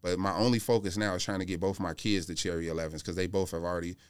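A man's voice: sits low, steady tone, fast, clean tone, no echo. An American man, middle-aged, chatting casually as a podcast guest in slangy, rambling anecdotes. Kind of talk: casual chat about sneakers. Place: close-miked podcast studio.

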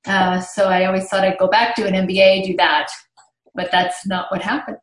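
A woman's voice: mid-range voice, level tone, normal speed, roomy sound, a little hiss or hum, rough recording. A woman from Canada, raised in French and English, explaining things conversationally as a podcast interview guest. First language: bilingual French and English from childhood. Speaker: podcast guest, tech marketing executive.

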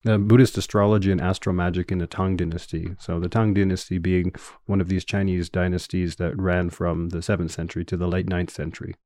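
Low, dark-voiced Canadian man. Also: flat delivery, no room sound. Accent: Canadian English. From Winnipeg, Canada. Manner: measured, explanatory, with fillers. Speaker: guest historian. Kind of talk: academic interview. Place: in person, same room as host.

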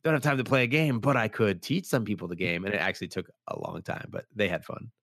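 A man's voice: on the low side, fast talker, a bit bright, no room sound. Chatty man with a dry, close voice. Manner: rambling, many "like" fillers, acts out quoted lines. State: voice worn after being sick. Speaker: podcast host. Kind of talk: casual chat.

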